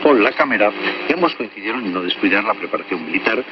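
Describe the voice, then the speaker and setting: Low-voiced male newsreader on noisy shortwave radio. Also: expressive, distant mic, highs cut off. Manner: formal, measured. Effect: shortwave radio broadcast. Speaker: radio news announcer. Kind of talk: scripted news reading.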